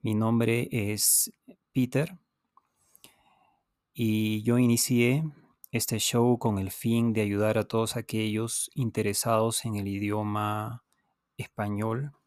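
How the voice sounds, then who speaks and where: A native Spanish-speaking man talks at a very slow pace in a fairly low voice, recorded dry and close. He sounds like a teacher hosting his own podcast, explaining each point deliberately, pausing, repeating and addressing the listener directly. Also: a little mellow, clean tone, no echo. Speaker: podcast host and language teacher. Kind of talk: unscripted instructional podcast monologue.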